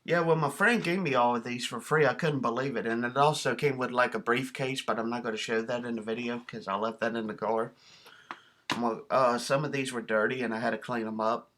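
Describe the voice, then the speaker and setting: A man talks in a bright, forward voice at a middling pitch. He presents items in a casual show-and-tell vlog style. His delivery is folksy, plain-spoken and enthusiastic. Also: fairly even intonation, a little fast, a little hiss or hum. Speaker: video host showing a cassette collection.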